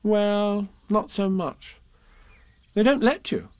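A man's voice: sits high, expressive, takes it slowly, a little mellow, no echo, pitched above the performer's own voice. A man voicing an earnest, kindly, imaginative little boy in a storybook, speaking in a faltering way. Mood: wistful, earnest.

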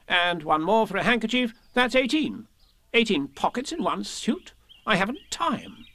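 A high-pitched, bossy storybook rabbit. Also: male voice, very animated, normal speed, pushed and bright, full character voice. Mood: exasperated. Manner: emphatic, officious. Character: bossy, brisk, exasperated male rabbit who takes charge. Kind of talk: children's storybook character voice.

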